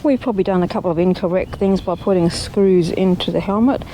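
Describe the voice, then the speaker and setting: A woman's voice: fairly low pitch, brisk, mellow, slight background noise, rough recording. A woman presenting a vlog in a casual, explanatory way, talking plainly and step by step. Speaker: presenter of a recumbent trike vlog. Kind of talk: casual explanatory demonstration and chat. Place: outdoors.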